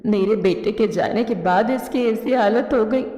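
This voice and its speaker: A woman voicing a caring, worried mother crow in a cartoon story, coaxing and gently scolding. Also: mid-range voice, a little slow, close to an everyday voice. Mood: firm, protective. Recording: echoey reverberant studio track.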